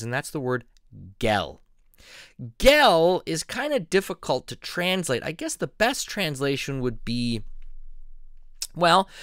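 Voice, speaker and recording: A middle-aged man from southeastern Pennsylvania giving a playful, animated casual video lesson, laughing often. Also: medium pitch, clean tone, dry recording, clean studio sound. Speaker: YouTube language teacher. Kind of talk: casual vlog-style lesson.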